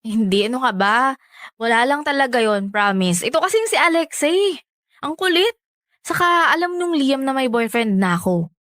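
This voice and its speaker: A woman's voice: sits high, big pitch swings, normal speed, a bit bright, no room sound, studio quality. A woman playing a defensive, easily exasperated young Filipina girlfriend in a radio drama, emotional and protesting in a conversational way.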